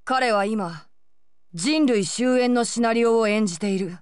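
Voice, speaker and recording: A woman's voice: fairly high pitch, measured pace, smooth, dry recording, studio quality, close to an everyday voice. A woman playing a solemn, stern young exorcist in an anime game.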